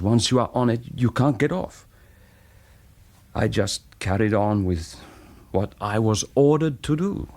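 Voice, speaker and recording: An actor playing a reflective, persuasive half-German former wartime spy in a radio drama, speaking deliberately and haltingly. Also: male voice, lowish voice, darkish tone. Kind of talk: naturalistic radio drama acting.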